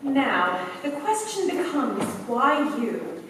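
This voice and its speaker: A woman's voice: fairly high pitch, sing-song, slow pace. Actress doing a posh-polite wartime lady boss, radio play, very echoey and far from mic. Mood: inquiring. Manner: polite, clipped, formal, businesslike. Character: brisk, composed wartime woman commando leader, politely commanding. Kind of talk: staged 1940s-style radio play acting. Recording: echoey hall, distant stage mic, faint noise.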